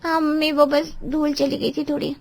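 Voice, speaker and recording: Pampered young daughter, high voice, sweet, family drama story. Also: female voice, level tone, normal speed, creaky, no echo.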